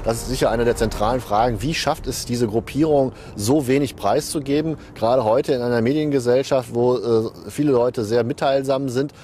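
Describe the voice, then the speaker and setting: A man's voice: mid-range voice, moderate pace, few pauses, dark tone, slight background noise. A man speaking as an expert interviewee, explaining in spontaneous documentary interview commentary.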